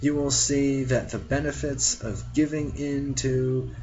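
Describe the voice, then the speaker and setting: Slow-reading man, dull lo-fi recording. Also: mid-range voice, steady tone, a little mellow. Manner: deliberate, broken into short phrases. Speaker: reader. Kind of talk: slow read-aloud of religious teachings with brief asides. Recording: dull lo-fi, faint music behind.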